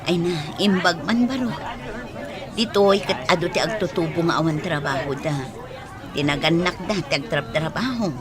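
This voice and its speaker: A woman playing an older, motherly but sharp-tongued villager in a radio drama, with an Ilocano accent. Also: fairly low pitch, moderate pace, a crowd in the background, natural voice. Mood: weary, grateful.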